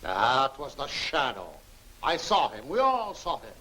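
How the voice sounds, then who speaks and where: A man acting as a gruff, suspicious police official in a 1940s film serial. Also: mid-range voice, huge pitch swings, measured pace, sharp tone, heavily acted. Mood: brusque, suspicious. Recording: noisy 1940 film soundtrack.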